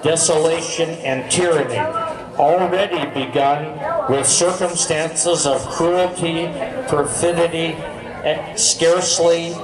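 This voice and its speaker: An American man giving a formal public recitation in deliberate, halting phrases. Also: fairly high pitch. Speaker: speaker at a public event. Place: public gathering in a park. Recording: echoey, distant mic amid crowd noise.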